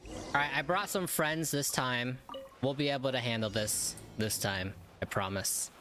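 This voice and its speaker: A man's voice: mid-range voice, normal speed. A man giving casual gameplay reaction commentary, thinking aloud informally. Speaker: gaming video creator. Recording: loud game music behind voice. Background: video game music.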